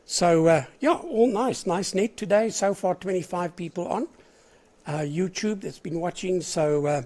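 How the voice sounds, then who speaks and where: Hoarse older South African man. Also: high-pitched, unhurried, a little mellow. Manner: chatty and rambling, clears his throat often. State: hoarse with a cold. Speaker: net controller of an amateur radio net. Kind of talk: casual on-air net chat.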